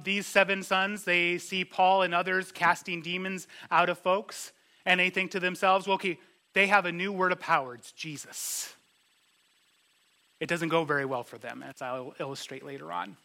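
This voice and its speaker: Bright-voiced man preaching a sermon. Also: high-pitched. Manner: earnest and conversational, with slow emphatic stresses. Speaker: pastor. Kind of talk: expository sermon.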